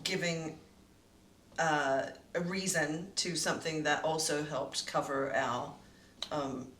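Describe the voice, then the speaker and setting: Woman producer chatting, bright voice, lots of ums, echoey room with faint hiss. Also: deep voice, steady tone, slow. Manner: explains with fillers and restarts. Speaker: co-producer of the radio play. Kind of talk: casual behind-the-scenes chat. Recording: roomy echo, distant mic, faint noise.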